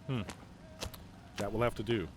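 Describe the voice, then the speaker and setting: A man's voice: lowish voice, level tone, normal speed, background noise, lightly acted. An actor playing a polite, commanding male general in an audio drama, speaking formally.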